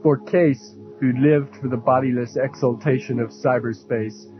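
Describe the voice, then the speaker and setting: A man's voice: mid-range voice, steady tone, a little slow. A man narrating literary fiction as an audiobook reader. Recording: slightly dull lo-fi audio, music underneath. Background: faint music.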